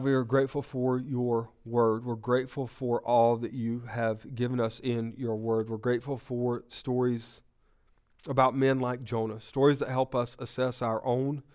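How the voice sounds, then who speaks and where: A man's voice: mid-range voice, darkish tone, clear, resonant tone. A man with a Southern US accent, preaching a sermon while sick, retelling a story in a conversational, joking way. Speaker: pastor.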